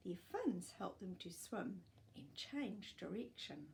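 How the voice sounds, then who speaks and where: An older woman hosting a children's storytime, reading non-fiction aloud with expressive, clear phrasing and explanatory asides for young listeners. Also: lowish voice, slow, a little hiss or hum. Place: small furnished living room, indoors.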